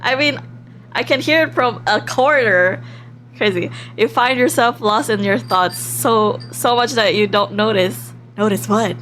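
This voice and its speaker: A woman live streaming a game in a casual, excitable, giggly way, reading text aloud and reacting expressively as she goes. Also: fairly high pitch, a little slow, slight background noise. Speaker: livestream host.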